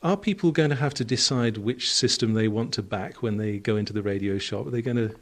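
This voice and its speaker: A man hosts a technology radio programme. His voice sits on the low side, and he interviews in a conversational style. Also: brisk.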